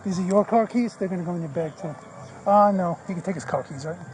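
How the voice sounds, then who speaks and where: A man's voice: high voice, quick pace. A male police officer questioning someone on scene in a matter-of-fact, informal way, picked up on a body camera. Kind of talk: on-scene questioning during an arrest. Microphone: body-worn camera. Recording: noisy body camera audio.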